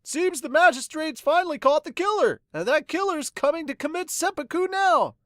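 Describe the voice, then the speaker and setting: Woman as a high-pitched, distressed townswoman. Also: lively intonation, moderate pace, fairly bright tone, no room sound, studio quality. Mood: anxious relief. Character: relieved, mournful townswoman gossiping about a killer.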